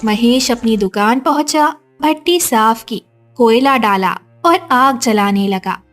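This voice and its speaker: Slow, high-pitched woman narrating a story. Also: a bit bright. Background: background music.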